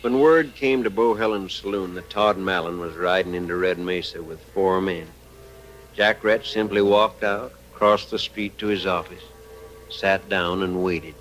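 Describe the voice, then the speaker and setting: An actor playing a storyteller in a radio western, giving scripted narration of a frontier tale. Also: male voice, deep voice, unhurried, fairly bright tone, faint music behind, low-quality recording. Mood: measured narration. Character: placating male western saloon keeper, also telling the tale.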